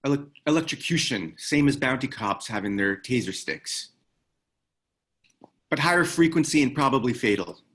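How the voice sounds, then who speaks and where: An actor at a table read playing a gruff, weary ex-military man who talks tersely and gives orders. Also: medium pitch, animated, moderate pace, a bit bright. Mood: matter-of-fact. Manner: terse, commanding. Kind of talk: screenplay table read acting.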